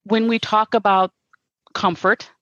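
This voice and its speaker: Reflective woman guest, sincere and steady, dull remote sound. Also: medium pitch, a little slow, dry recording. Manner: earnest, reflective, repeats words when restarting. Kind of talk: conversational interview.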